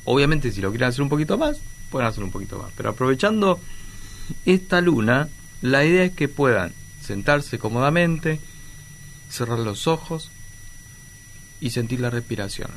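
Gravelly-voiced man guiding a calm exercise. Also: mid-range voice, moderate pace, slight background noise. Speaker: guest. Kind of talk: guided exercise instructions.

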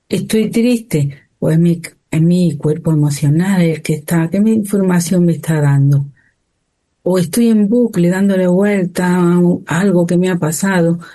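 Woman, co-host, warm mellow tone, lowish voice, calm. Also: creaky. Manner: calm, reflective, thinking aloud.

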